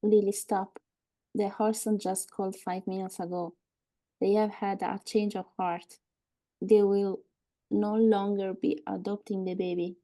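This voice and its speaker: A woman playing an anxious, apologetic hospital social worker in a dramatic table read, earnest, deliberate and pleading yet firm. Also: mid-range voice, level tone, mellow, natural voice. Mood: grave. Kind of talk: live screenplay table read, dramatic acting.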